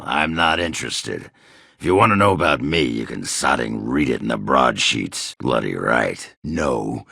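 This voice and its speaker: A hard-drinking dwarf warrior for a fantasy game, with an extremely deep voice full of heavy gravel. He is crude and blunt, taking his time over coarse, swearing lines. The sound is forceful and rough. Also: no room sound, studio quality, full character voice. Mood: hostile, scornful. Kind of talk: video game character dialogue.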